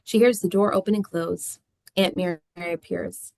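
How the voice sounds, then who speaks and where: A woman's voice: lowish voice, steady tone, normal speed, creaky, a little mellow, dry recording. A woman reading scripted stage directions as a narrator.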